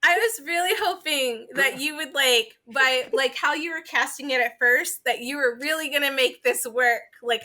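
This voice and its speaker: High bright mock-exasperated young woman. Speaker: podcast co-host. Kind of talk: casual chat.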